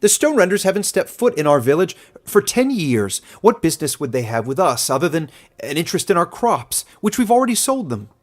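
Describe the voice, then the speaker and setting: A man voicing a scornful, exasperated village man in a fantasy story, loud and dismissive. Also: on the high side, sing-song, brisk, voice pushed up.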